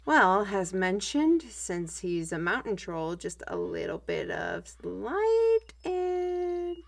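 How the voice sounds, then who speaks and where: A woman playing a young, learned squire in an audio roleplay, explaining things in an animated, chatty manner. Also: medium pitch, slow, gravelly, exaggerated voice. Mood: matter-of-fact.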